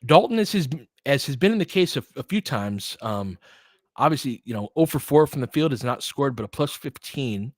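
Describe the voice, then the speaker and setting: Male basketball play-by-play host, mid-pitched, informal fan-side delivery, excitable on big plays, very dry recording. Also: moderate pace, dry recording. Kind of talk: live basketball commentary and analysis.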